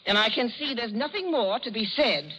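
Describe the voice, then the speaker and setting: An actress playing a prim, moralizing middle-aged society aunt, formal and haughty, in an old-time radio drama. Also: female voice, a little high, animated, normal speed, sharp tone. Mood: disapproving. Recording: dull, lo-fi vintage radio recording.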